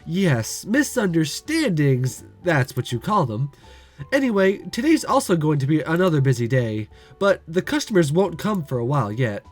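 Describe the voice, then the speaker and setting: A man reading the lines of a blunt, teasing young woman, a childhood friend, in a calm, dry way. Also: on the high side, moderate pace, soft background music.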